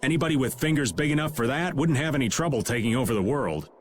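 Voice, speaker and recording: A man playing a teasing, lively-voiced roguish flirt. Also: mid-range voice, quick pace, slight background noise, natural voice. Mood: wry. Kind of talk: English video game voice acting.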